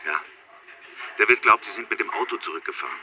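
A deep, sharp-voiced village policeman. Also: lively intonation, quick pace, a little hiss or hum, exaggerated voice. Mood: matter-of-fact. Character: matter-of-fact male village policeman, friend of the family. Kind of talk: radio drama phone dialogue.

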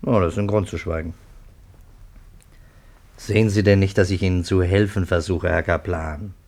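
An actor playing a probing, sarcastic police detective in a German radio play, questioning insistently. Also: male voice, low-pitched, steady tone, moderate pace, darkish tone. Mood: quiet, coaxing.

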